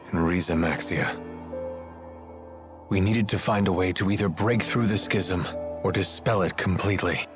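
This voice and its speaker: A low-voiced, weary exile. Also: male voice. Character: weary, guarded middle-aged man stranded far from home. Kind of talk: English video game cutscene voice acting. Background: music.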